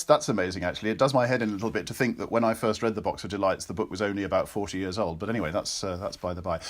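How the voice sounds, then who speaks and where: A man in his fifties speaking as an interviewer in a casual, chatty and enthusiastic conversational style. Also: lowish voice. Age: 50s.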